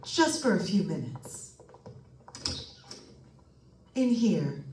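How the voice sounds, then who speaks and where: A woman playing a polite, businesslike hospital attendant in an old-time radio drama, formal and measured. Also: on the low side, very animated, a little mellow, full character voice. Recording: echoey room, far from mic.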